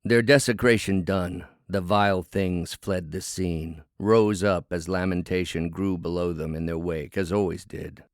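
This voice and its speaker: A man's voice: sits low, fairly even intonation, a little slow, few pauses, dry recording, studio quality. A man narrating a poetic fable as a storyteller, with theatrical, verse-like phrasing.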